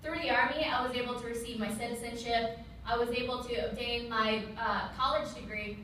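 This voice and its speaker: Young woman officer, Tagalog speaker, bright voice, reading a speech in a reverberant hall with faint room noise. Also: on the high side, fairly even intonation, far from the mic. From Philippines. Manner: measured, reads from a prepared text. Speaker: Army officer giving an observance speech. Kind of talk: prepared public speech.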